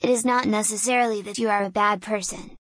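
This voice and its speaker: A woman's voice: mid-range voice, steady tone, a bit bright, no room sound. Woman musing aloud, dry sound. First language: Hungarian. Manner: deliberate, philosophical, digressive. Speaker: small business owner. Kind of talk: reflective solo monologue.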